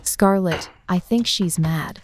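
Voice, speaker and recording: A woman's voice: on the low side, slow pace, a little hiss or hum. A woman playing a laid-back, friendly teenage girl in an amateur scripted storytime drama. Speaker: voice actor in an animated storytime drama. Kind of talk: scripted amateur roleplay dialogue.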